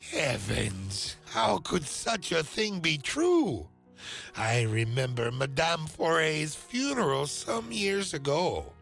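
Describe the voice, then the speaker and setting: An actor playing an obliging, gossipy cemetery keeper in a game cutscene, with a theatrical, musing, drawn-out delivery. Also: male voice, a little high, sing-song, vocal fry, a bit bright, soft background music, full character voice. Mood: shocked, pondering. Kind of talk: dramatic video game cutscene acting.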